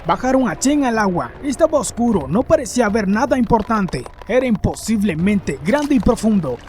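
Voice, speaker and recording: A man's voice: high voice, very animated, normal speed, a little mellow, a little hiss or hum. A man reading scripted narration in the manner of a formal report for a horror fiction channel.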